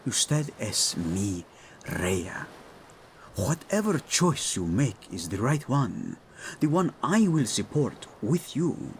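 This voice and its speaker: A man performs a doting, playful king in a romantic audio drama. His voice has a gravelly edge and moves unhurriedly through very animated rises and falls. He speaks intimately, like a husband to his beloved. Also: mid-range voice, a little hiss or hum. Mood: reassuring. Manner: tender, playful, unhurried endearments. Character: devoted, teasing Spanish-speaking king and husband.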